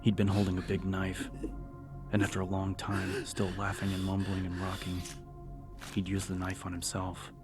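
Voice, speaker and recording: A man narrating a scripted horror audiobook in a measured, serious storytelling manner. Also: low-pitched, completely flat delivery. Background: music.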